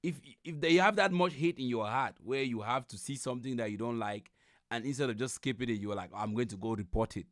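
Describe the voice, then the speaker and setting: A man's voice: medium pitch, fairly quick, a bit bright, no echo, studio quality. Man reacting casually on a livestream. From Cameroon. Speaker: livestream host reacting to a video. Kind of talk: casual live commentary.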